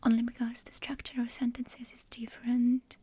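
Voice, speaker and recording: A woman in her twenties, a native Russian speaker, whispering in a soft, meandering ASMR ramble close to a desktop condenser mic. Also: a little high, monotone, normal speed, husky, no room sound. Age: 20s. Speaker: ASMR creator. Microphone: desktop USB condenser mic.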